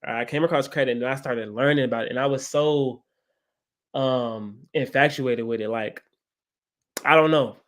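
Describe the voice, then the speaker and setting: A man's voice: medium pitch, moderate pace, a bit bright. Young man repeating "right?". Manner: repetitive, leans on "right?". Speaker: guest, credit educator. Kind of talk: casual interview talk.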